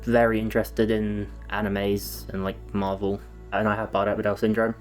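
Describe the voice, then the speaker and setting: Low, flat-voiced eighteen-year-old man. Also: normal speed, faint music behind. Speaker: interviewee, college student. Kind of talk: documentary interview testimony.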